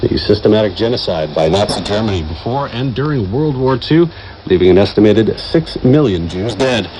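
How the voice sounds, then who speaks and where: A male news presenter delivers a formal, scripted bulletin in a fairly low voice. His measured reading comes through a clearly noisy shortwave radio signal. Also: expressive. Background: radio static and noise.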